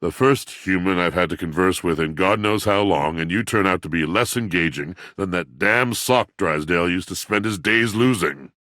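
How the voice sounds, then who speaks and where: An actor playing a melancholy, haughty, literature-loving washing machine in a video game, with pompous, eloquent, theatrical delivery. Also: male voice, low voice, normal speed, hardly any pauses, a bit bright, dry recording, studio quality. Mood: scornful. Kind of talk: dramatic video game character acting.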